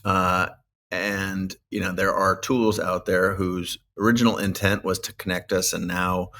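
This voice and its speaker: A deep-voiced male podcast host with a bright, clear tone. He frames his questions slowly and at length, and his delivery stays measured throughout. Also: flat delivery. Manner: measured, long winding questions. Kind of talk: interview hosting.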